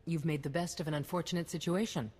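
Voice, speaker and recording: An actress playing a shrewd, stern head of state in a science-fiction video game, with a formal, cutting, authoritative manner. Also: female voice, low voice, steady tone, quick pace, darkish tone, slightly rough. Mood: approving, resolute. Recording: reverberant hall, music behind.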